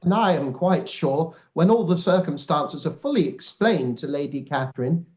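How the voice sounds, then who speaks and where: A woman's voice: deep voice, lively intonation, moderate pace, darkish tone. A woman playing a pompous, long-winded and obsequious clergyman in a radio play reading. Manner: pompous, wordy.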